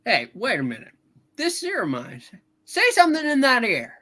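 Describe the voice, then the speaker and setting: A man's voice: extremely high pitch, wildly swooping pitch, moderate pace, bright, forceful tone, full character voice. A man playing a troubled, skeptical everyman in a hammed-up radio play reading, hesitant and folksy with stammered starts. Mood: bewildered. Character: troubled, skeptical, despairing everyman in old film.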